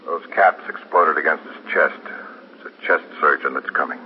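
A man's voice: rumbling low, normal speed. A man playing a weary, matter-of-fact police lieutenant in a 1950s radio drama. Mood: firm. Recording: muffled, noisy 1950s radio recording.

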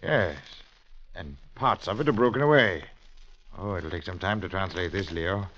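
An actor playing an ugly, middle-aged Oxford archaeology professor and fatherly friend, with measured, theatrical old radio delivery. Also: sits low, animated, fairly bright tone, a little hiss or hum. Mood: curious, absorbed. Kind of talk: 1940s-50s radio drama acting, first-person narration and dialogue. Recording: muffled vintage radio recording with music behind.

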